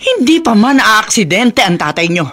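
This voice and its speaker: A disapproving Filipino-accented grandmother in a radio drama. Her voice is bright, cutting and fairly high, swinging widely in pitch with an indignant, accusing edge. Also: sing-song, moderate pace, a little hiss or hum. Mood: scornful, indignant. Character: scornful, suspicious grandmother, disapproving of her daughter-in-law.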